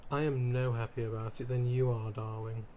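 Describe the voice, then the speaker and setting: A man's voice: medium pitch, level tone, fairly quick, a little mellow, slight background noise, close to an everyday voice. A man playing a theatrical, fussy vampire aristocrat in an ASMR roleplay, with pompous old-fashioned wording, flustered stammers and dramatic emphasis. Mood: confiding, weary. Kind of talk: ASMR roleplay character acting, addressing the listener.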